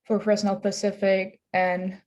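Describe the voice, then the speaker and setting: A young woman talks in an even, flat voice with little rise and fall. She speaks in a matter-of-fact way. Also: medium pitch, unhurried, lo-fi sound, slight background noise. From Germany. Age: young adult. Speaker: student panelist.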